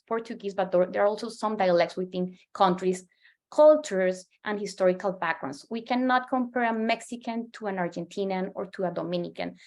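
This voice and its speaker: A woman in her forties from Colombia with a Colombian Spanish accent, a native Spanish speaker giving an earnest presentation as a market researcher, often restarting and repeating words. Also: medium pitch. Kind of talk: presentation to a professional audience.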